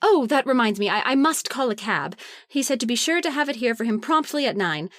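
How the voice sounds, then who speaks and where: Woman acting high-voiced trusting wife. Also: lively intonation, brisk, fairly bright tone, dry recording, studio quality. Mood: brisk. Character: trusting, forgiving wife, guarded then sweetly relieved. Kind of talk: old-time radio suspense acting.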